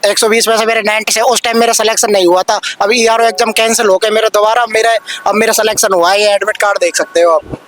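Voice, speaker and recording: A man's voice: high voice, fairly even intonation, quick pace, fairly bright tone. A young man in his twenties, an exam aspirant at a street protest, complaining earnestly about a cancelled recruitment. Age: 20s. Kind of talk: street interview.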